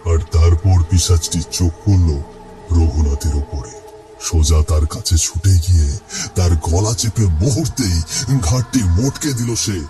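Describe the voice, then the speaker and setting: A man's voice: low-pitched, a little slow. A man giving scripted, dramatic narration for a horror cartoon story. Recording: music under the voice.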